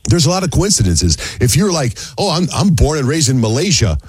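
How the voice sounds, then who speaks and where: An American man hosting a radio call-in show with casual, animated banter, often acting out imagined dialogue. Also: a little high, brisk, breathy edge, dry recording.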